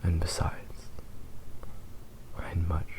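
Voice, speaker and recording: A man voicing a devoted, possessive fox spirit husband in an ASMR roleplay, speaking in a soft, breathy half-whisper with intimate, lingering pauses. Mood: adoring.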